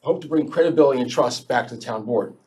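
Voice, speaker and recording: Man, husky voice, candidate statement, measured and halting, mid pitch. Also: a little mellow. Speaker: town board candidate. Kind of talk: prepared opening statement.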